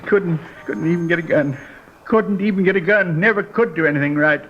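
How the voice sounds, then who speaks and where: A distraught, self-pitying gunman with a high, agitated voice, repeating himself in rough folksy grammar. It is old radio crime drama, muffled with faint noise behind. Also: a little fast, a bit bright. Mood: despairing, broken. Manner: agitated, repetitive, ungrammatical folksy speech. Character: distraught paranoid gunman, cornered, self-pitying, uneducated drifter.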